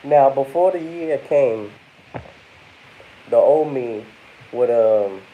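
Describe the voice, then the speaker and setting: A man's voice: mid-range voice, animated, slow pace, narrow, tinny audio. A congested young man vlogging casually, rambling and talking to his viewers as y'all. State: congested, under the weather. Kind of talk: casual talk to camera.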